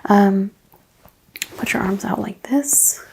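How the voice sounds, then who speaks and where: A soft-spoken woman playing a chiropractor in an ASMR roleplay, hushed and often drifting into a whisper. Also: medium pitch, slow, creaky. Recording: faint background noise.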